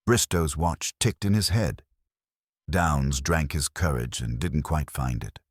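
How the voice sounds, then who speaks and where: A man's voice: a bass voice, takes it slowly, slightly rough, no echo, clean studio sound. A man narrating scripted first-person detective fiction in a wry, hardboiled manner. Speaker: audiobook narrator.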